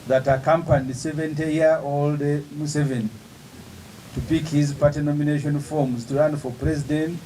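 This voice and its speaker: A man, an opposition politician giving an emphatic, measured press statement with pauses between phrases. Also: a little high, flat delivery, dark tone, slight background noise, rough recording.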